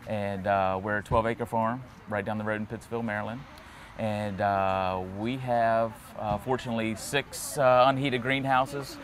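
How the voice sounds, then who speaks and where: A lowish male voice running through his vegetables with lots of small hesitations. A faint hum of the outdoors sits behind him. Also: fairly even intonation, moderate pace. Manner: listing produce with frequent "uh". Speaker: vegetable farmer. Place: outdoor farmers market.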